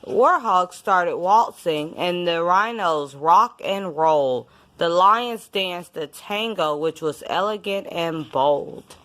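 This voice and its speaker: A woman reading a rhyming picture book aloud to a young child in a deliberate storytelling style. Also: lowish voice, lively intonation, fairly bright tone. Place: indoors at home, book laid on a bed.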